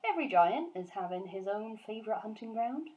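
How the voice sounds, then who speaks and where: Woman voicing an excitable, kindly, word-muddling storybook giant. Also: medium pitch, a little fast, lo-fi sound, pitched above the performer's own voice, natural voice. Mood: matter-of-fact. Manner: emphatic, garbled grammar and nonsense words.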